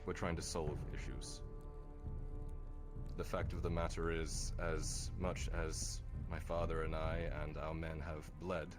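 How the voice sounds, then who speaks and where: A man playing a measured young nobleman and war veteran, speaking formally and deliberately in a fantasy roleplay, with music behind him. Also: extremely low pitch, flat delivery. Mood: measured, earnest. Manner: deliberate, formal, halting delivery. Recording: loud music under the voice. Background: loud music.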